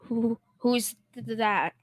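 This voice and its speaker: A woman's voice: fairly high pitch, slow pace, no room sound, clean studio sound. A young female performer playing a blustering, cowardly middle-aged father in old-time radio comedy style, folksy, wisecracking and ungrammatical. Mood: frightened. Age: teenager.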